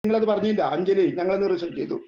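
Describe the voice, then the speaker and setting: Man, northern Kerala accent, very high pitch, quick, indignant complaining caller, insistent questioning, echoey phone recording. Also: fairly even intonation, dark tone, lo-fi sound, a little hiss or hum. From Edappal, Kerala. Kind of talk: confrontational phone call.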